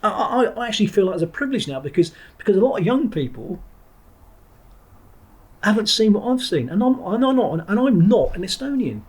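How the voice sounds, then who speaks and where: Husky middle-aged man. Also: high voice, sing-song, a little fast, darkish tone. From England. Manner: blunt and digressive, with frequent restarts and the odd swear word. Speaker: podcast guest, former Marine trainer. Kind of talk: reflective interview talk.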